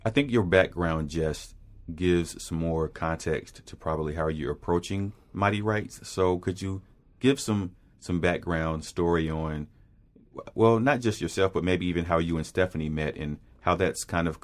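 A man interviewing two guests, asking measured, probing questions. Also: sits low.

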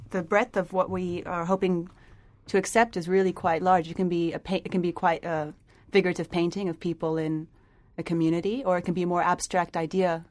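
A woman's voice: fairly low pitch, a little mellow. A young woman answering interview questions about an art project in a loose, hedging way. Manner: loose, hedging talk with many "kind of" and "like".

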